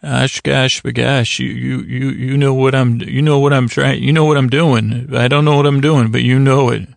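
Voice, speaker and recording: A man hosting a sleep podcast in a gentle, soothing way, with halting, fumbling, meandering monologue that keeps interrupting itself. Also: mid-range voice, fairly even intonation, gravelly, no room sound. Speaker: podcast host. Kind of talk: rambling bedtime monologue to lull listeners to sleep.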